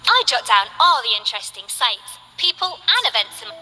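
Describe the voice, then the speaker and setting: A woman's voice: fairly high pitch, sing-song, moderate pace, music in the background, rough recording. Bubbly young courier girl. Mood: upbeat. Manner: bubbly, chatty, eager. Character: cheerful young female delivery courier. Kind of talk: video game voice acting.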